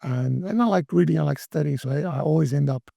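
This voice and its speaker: A middle-aged man from Brazil, speaking as an interview guest, talking in a halting way with many restarts and fillers. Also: a little high, gravelly, mellow, no echo. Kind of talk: interview conversation.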